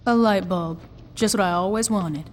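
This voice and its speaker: A woman's voice: medium pitch, normal speed, in a large hall, noisy background, natural voice. A woman voicing a blunt, tough gang boss. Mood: dry. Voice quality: blunt, sarcastic. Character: tough, foul-mouthed female gang boss. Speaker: gang leader player character. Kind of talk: video game cutscene acting.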